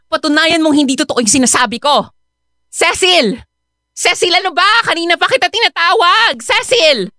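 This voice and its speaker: Wronged breadwinner big sister, high voice, demanding and emphatic, radio drama style. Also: female voice, lively intonation, moderate pace, sharp tone, no echo, studio quality, full character voice. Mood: suspicious, demanding. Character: angry wronged live-in partner and breadwinner older sister. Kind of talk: Filipino radio drama acting.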